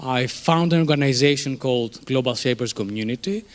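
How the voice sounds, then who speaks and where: A man's voice: medium pitch, a little fast. A man in his thirties from Greece with a Greek accent, giving a conversational conference presentation in a room, with many fillers and restarts. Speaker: conference speaker, startup co-founder.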